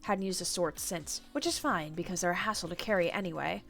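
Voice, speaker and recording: A woman's voice: on the low side, fairly quick, vocal fry, a bit bright, faint music behind, voice pushed down. A woman playing a blunt, cool girl assassin. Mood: matter-of-fact. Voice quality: nasal.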